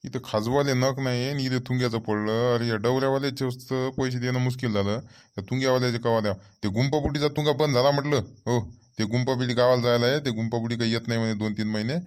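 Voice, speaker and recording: A man's voice: mid-range voice, steady tone, fast, lightly acted. A man playing a harried, fretful village farmer in a comic cartoon, muttering complaints in a Vidarbha Marathi accent. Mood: grumbling.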